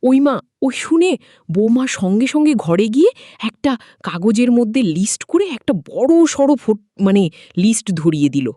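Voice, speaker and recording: A woman's voice: a little high, big pitch swings, unhurried, dark tone, dry recording, studio quality. A woman narrating a story informally and dramatically, as a storyteller.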